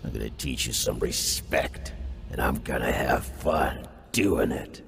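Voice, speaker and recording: A big dumb american mafia heavy, crazy low growly voice, threatening, cartoon game. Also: male voice, measured pace, creaky, fairly bright tone, exaggerated voice. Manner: threatening, slangy.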